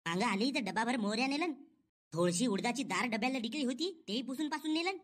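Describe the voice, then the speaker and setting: A man's voice: very high voice, expressive, quick pace, fairly bright tone, exaggerated voice. A man with a rural Maharashtrian accent voicing an aggrieved young village wife in a comedy cartoon, grumbling in a plaintive, gossipy way. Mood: complaining.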